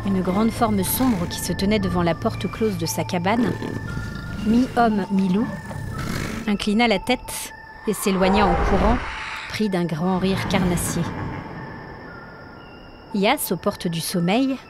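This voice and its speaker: Woman reading a spooky supernatural story, medium pitch, dramatic storyteller style, music clearly behind her. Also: slow, pauses often. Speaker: narrator and podcast host. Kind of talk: dramatic scripted narration of supernatural fiction. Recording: music bed under narration.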